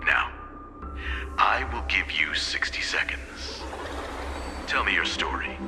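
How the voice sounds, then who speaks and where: An actor playing a stern, calculating old corporate patriarch in a video game, with measured, formal and authoritative speech. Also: male voice, sits low, animated, whispered, full character voice. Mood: weighty, deliberate. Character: stern, calculating elderly male corporate elder. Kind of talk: dramatic video game voice acting. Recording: loud music behind the voice. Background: music.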